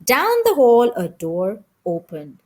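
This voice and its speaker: Woman, high-pitched, very varied intonation, expressive children's audiobook narration. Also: slow pace. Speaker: audiobook reader.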